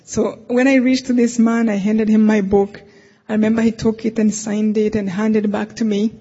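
A woman's voice: mid-range voice, flat delivery, normal speed, breathy edge. A woman from Rwanda with a Rwandan accent, sharing her faith story in an earnest way and laughing often as she talks. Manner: earnest, laughs often, hushed intimate delivery. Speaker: speaker. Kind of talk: faith testimony talk. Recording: lo-fi recording in a reverberant hall.

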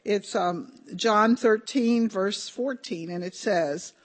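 An older woman giving a talk at a conference, her voice slightly hoarse and deeper than usual for a woman. She speaks at an ordinary pitch, hesitant and informal, pausing often with ums and starting sentences over. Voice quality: deep for a woman. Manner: hesitant, many ums and restarts.

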